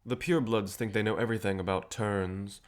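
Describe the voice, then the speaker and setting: An actor playing a cheerful, self-assured, century-old vampire rebel leader in an audio drama, courteous and persuasive with a theatrical manner. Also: male voice, lowish voice, fairly even intonation, a little fast, natural voice. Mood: determined, persuasive. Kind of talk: scripted audio drama acting.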